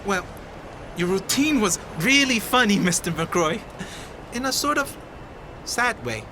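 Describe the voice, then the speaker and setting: A woman's voice: medium pitch, expressive, a little slow, background noise, close to an everyday voice. A woman playing an eager, cheeky young child in a radio drama. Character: eager, cheeky young child named Angel, breathless tagalong.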